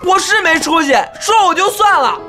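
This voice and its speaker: A woman plays a wounded, hot-headed young man in a radio play. The voice is high, emotional and accusing. Music plays clearly behind it. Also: moderate pace. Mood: hurt, indignant. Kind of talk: Chinese audio drama acting.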